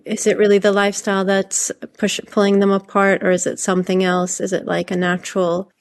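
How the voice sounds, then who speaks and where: A woman's voice: mid-range voice, monotone, a little fast. A woman from Hungary being interviewed as a novelist, talking reflectively and conversationally with tentative phrasing.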